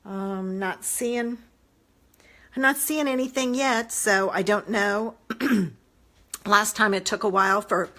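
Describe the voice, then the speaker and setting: A woman in her fifties, a little hoarse, chatting casually to viewers in a home craft live stream, recorded on a tablet held at arm's length indoors. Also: medium pitch, slow, long pauses, sharp tone. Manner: chatty, rambling, talks straight to viewers. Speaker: papercraft demonstrator. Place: home living room, indoors. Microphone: phone or tablet camera at arm's length.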